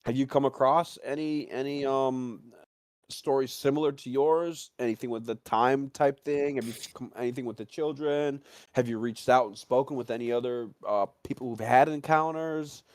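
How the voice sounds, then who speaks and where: A man's voice: medium pitch, fairly even intonation, moderate pace, a little mellow, no echo, studio quality. A man hosting a casual talk stream, interviewing a guest in a rambling way and often circling back to rephrase his questions. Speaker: stream host.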